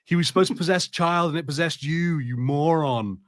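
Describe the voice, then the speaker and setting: A man's voice: a little high, brisk, dry recording, studio quality. A man co-hosting a podcast, chatting casually and jokily about films, with some swearing. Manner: informal, jokey, sweary. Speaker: podcast co-host. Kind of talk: casual film chat on a watch party.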